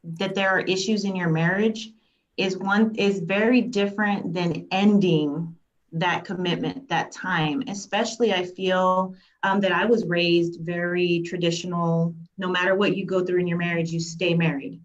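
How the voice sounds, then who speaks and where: A woman's voice: lowish voice, steady tone, moderate pace, muffled recording. A woman coach on a panel, speaking reflectively from personal experience. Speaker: life coach guest. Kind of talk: panel discussion.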